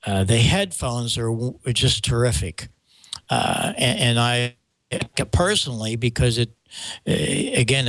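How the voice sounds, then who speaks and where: An older American man talks casually as a show host. His delivery rambles and halts, with stammered restarts as he explains equipment. The pitch is average for a man and the sound is dry, with little room echo. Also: a bit bright. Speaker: show host and microphone maker. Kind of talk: casual show talk, explaining audio gear.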